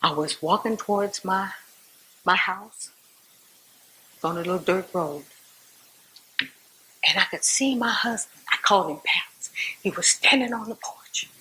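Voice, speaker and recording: A woman playing a devout, resolute Southern civil rights activist, telling her story to church in a theatrical, folksy monologue with dramatic pauses and repetition. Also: mid-range voice, bright, forceful tone, a little hiss or hum, low-quality recording. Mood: earnest, relieved.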